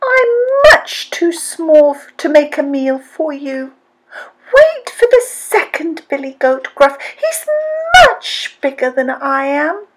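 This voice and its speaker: A woman voicing a tiny, timid young male billy goat in a children's story, pleading in a squeaky way. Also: big pitch swings, slow, a bit bright, heavily acted. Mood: frightened, pleading.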